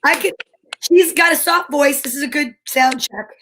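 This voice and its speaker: A woman with a high, sharply bright voice co-hosts a radio show. She chats casually, full of enthusiasm, and likes to tease. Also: moderate pace, poor audio. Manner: enthusiastic, teasing. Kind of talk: casual chat and banter.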